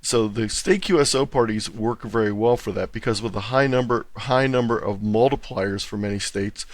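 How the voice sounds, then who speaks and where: Low-voiced man explaining as a guest. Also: normal speed, no echo. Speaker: interview guest, club presenter and radio contester. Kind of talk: conversational explanation in an interview.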